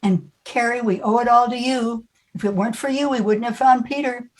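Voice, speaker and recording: A woman's voice: a little high, fairly even intonation, brisk, rough recording. A woman speaking informally as the host of a service, giving thanks and announcements. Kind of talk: informal spoken announcements to a congregation.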